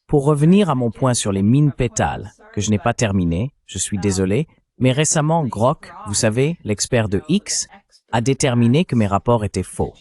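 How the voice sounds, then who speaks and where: A man's voice: medium pitch, lively intonation, normal speed, a little mellow. A man speaking as a dubbed interview guest, recounting events at length with indignation.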